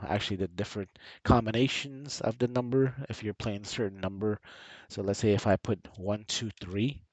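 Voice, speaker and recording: A man with a low voice talks through an on-screen tutorial in a casual, hesitant way, often repeating himself. He sounds like he has a slight cold. The recording is close and dry. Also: dry recording. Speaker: channel host and tutorial presenter.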